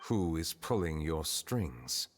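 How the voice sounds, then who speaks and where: An actor playing a grave, composed chief justice with formal, measured speech. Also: male voice, very deep voice, big pitch swings, a little hiss or hum, heavily acted. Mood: stern, calm. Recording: long hall reverb, music behind.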